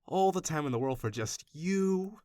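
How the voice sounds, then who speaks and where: A man's voice: a little high, expressive, normal speed, dry recording, clean studio sound, natural voice. A man playing an obsessive, doting kidnapper in a roleplay audio, speaking to the listener with rambling, anxious affection. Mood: teasing, curious. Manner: soft-hearted, rambling, hesitant, gushing reassurance. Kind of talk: intimate roleplay audio acting addressed to the listener.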